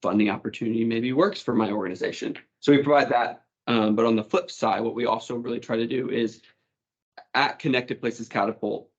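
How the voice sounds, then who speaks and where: A man's voice: medium pitch, steady tone, brisk, lo-fi sound. A man answering questions on a panel, speaking casually with many "kind of"s and "um"s.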